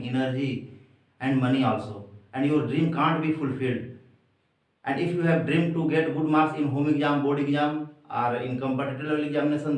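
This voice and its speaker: A man with an Indian accent, a native Hindi speaker, teaching grammar in a deliberate, emphatic way and addressing his listeners directly. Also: a little high. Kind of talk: instructional grammar lesson to camera. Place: echoey room indoors. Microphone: distant microphone. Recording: very echoey room, distant mic.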